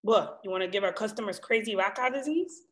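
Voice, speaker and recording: A woman's voice: medium pitch, expressive, fast, fairly bright tone, close to an everyday voice. A woman playing a brusque, sassy kitchen cook who mixes English with Spanish, in a table read. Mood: bossy. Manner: brusque, teasing. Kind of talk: casual dramatic table read. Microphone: video call.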